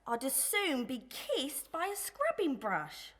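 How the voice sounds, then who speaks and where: A woman acts a sharp-tongued lady in a Victorian audio melodrama. Her voice is fairly high with lively rises and falls. She delivers old-fashioned lines with tart, teasing bluntness. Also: slow pace, exaggerated voice. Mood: tart, scornful. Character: tart, outspoken woman fending off a suitor.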